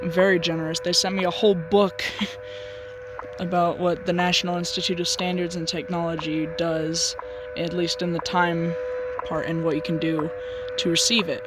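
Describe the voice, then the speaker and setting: A shortwave radio hobbyist giving casual, halting commentary on what the receiver picks up. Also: medium pitch, little pitch movement, loud music behind the voice. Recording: loud shortwave static and radio noise. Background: shortwave radio static and broadcasts.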